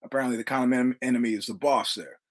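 Husky-voiced Brooklyn man in his sixties. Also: mid-range voice, fairly even intonation, very fast. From New York, Brooklyn. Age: 60s. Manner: profane, swaggering storyteller acting out dialogue. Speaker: podcast host. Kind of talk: casual storytelling monologue.

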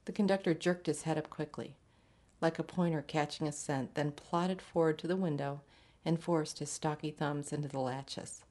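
A woman reading a literary short story aloud in a measured, scripted narration. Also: low-pitched, fairly even intonation, dark tone. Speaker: story reader.

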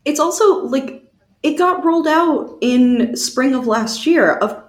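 A woman's voice: high-pitched, expressive, slow pace. A woman chatting casually as a podcast co-host, her voice recorded with a roomy echo. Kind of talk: casual chat. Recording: roomy, echoing sound.

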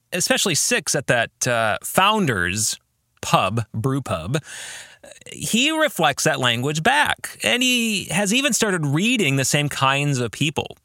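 An American man hosting a reflective book discussion podcast, phrasing his thoughts deliberately as he addresses listeners. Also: a little high, sharp tone, dry recording, clean studio sound. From American Midwest. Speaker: podcast host, author and pastor.

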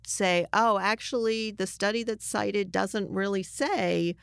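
An earnest woman talks as an interview guest, with a medium-pitched voice. She makes her points emphatically in a conversational way. The sound is dry, with very little room to it. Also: fairly even intonation, slow, creaky, smooth, dry recording. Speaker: journalist guest.

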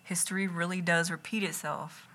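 Bright-voiced woman reading in ASMR style. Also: fairly low pitch, steady tone, normal speed. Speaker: writer reading own missive aloud. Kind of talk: ASMR read-along. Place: home kitchen. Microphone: close enough to catch breath and lips.

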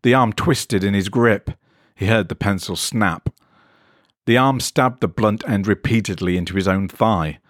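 A man reading a scripted short story as an expressive narrator. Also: on the low side, a little slow, no echo, studio quality.

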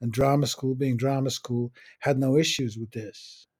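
An older man muses over his memories in a measured, unhurried monologue. His voice is mellow and a little husky, and he lets short phrases fall apart with long pauses between them. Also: medium pitch, steady tone. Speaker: podcast host. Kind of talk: reflective personal monologue.

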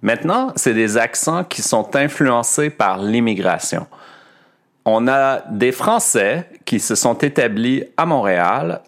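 A man's voice: mid-range voice, lively intonation, takes it slowly. A man with a Québécois French accent hosting a podcast lesson, explaining things carefully with frequent hesitations. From Quebec. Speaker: podcast host and French teacher.

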